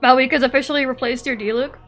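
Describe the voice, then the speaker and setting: A woman's voice: high voice, steady tone, quick pace, fairly bright tone. A woman streamer chatting casually and playfully with her viewers while she plays a game. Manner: playful, giggly, reading and answering chat. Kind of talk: casual livestream chat while gaming. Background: game music.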